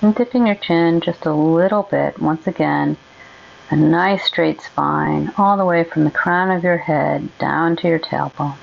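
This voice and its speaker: Slow, low-voiced woman guiding meditation. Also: creaky, muffled recording. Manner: gentle, unhurried, with long pauses. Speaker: meditation guide. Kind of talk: guided meditation, soothing instruction.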